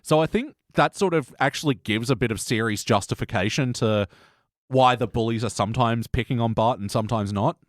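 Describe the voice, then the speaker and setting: A man hosting a podcast in casual review chat, talkative and quick to laugh. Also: mid-range voice, no room sound, studio quality. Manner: chatty, laughs often while talking. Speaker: podcast host.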